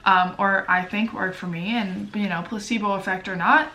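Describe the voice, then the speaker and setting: A woman's voice: mid-range voice, fairly even intonation, normal speed, sharp tone. A young woman with a stuffy, sniffly voice chatting casually to camera as a vlogger, full of fillers and self-deprecating asides. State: congested, sniffly. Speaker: lifestyle vlogger. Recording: echoey room, voice a bit far from mic.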